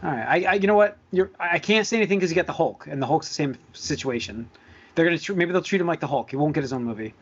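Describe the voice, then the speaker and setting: A man's voice: a little high, lively intonation, fast talker, breathy edge, lo-fi sound. A man co-hosting a casual movie podcast, giving blunt, opinionated takes with some swearing. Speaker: podcast co-host. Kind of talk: casual movie discussion among friends.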